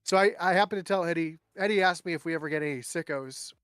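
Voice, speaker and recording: A fairly high-voiced man tells workplace stories on a podcast, full of ums and uhs, now and then jumping up in pitch to imitate someone. His voice sits close and dry, with no room sound around it. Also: fast talker, a bit bright, clear, resonant tone, no echo, soft background music. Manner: chatty storyteller who mimics people in raised voices. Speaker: guest. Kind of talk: casual podcast chat.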